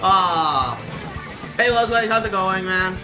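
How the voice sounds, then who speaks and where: A man with a slightly high voice talks over clear game music on a casual stream. He rambles informally to his viewers and breaks into exclamations as he plays. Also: animated, takes it slowly.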